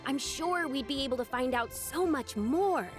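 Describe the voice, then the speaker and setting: A woman's voice: sits high, lively intonation, moderate pace. A woman playing an earnest, candid young female archaeologist in a video game, explaining herself expressively. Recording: music playing behind the voice.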